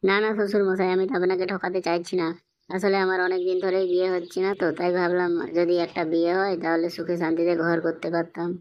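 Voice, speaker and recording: A very dry, muffled cartoon dub of a woman acting out a hapless young male trickster. The delivery is whining and quick, on the low side for a woman, with little rise or fall. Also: flat delivery, no room sound, lightly acted. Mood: pleading, nervous. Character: hapless young male swindler, pleading and cheeky. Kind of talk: comedy cartoon dialogue.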